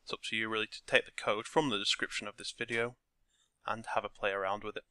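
A man with a croaky voice talking through a game scripting tutorial step by step from his desk. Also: lowish voice, quick pace, no room sound. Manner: relaxed step-by-step explaining. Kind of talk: screen-recorded tutorial narration.

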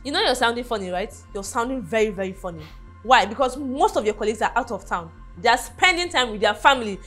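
A woman's voice: on the high side, expressive, quick pace, a bit bright, soft background music. An actress playing a devoted wife who pleads with and confronts her husband, often repeating herself. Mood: hurt.

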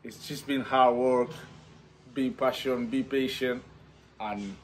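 A man's voice: mid-range voice, fairly even intonation, slow pace. A man in his twenties from Spain, with a Spanish accent and plain, non-native English, talking eagerly in an interview in a stadium's stands. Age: 20s. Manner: enthusiastic, non-native English with simple phrasing. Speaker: footballer. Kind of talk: post-signing interview. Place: outdoor football stadium stands.

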